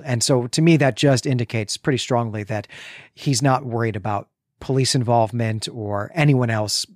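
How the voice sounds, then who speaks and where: A man of about forty co-hosting a book podcast, reasoning through his points in a measured, conversational way. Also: medium pitch, no room sound, studio quality. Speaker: co-host of a book discussion podcast. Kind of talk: conversational literary analysis.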